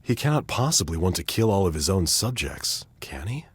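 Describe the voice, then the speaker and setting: A man acting a courteous, thoughtful gentleman adventurer in a video game, speaking in formal, measured sentences. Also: low-pitched, big pitch swings, slightly rough, dry recording, exaggerated voice. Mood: puzzled, concerned.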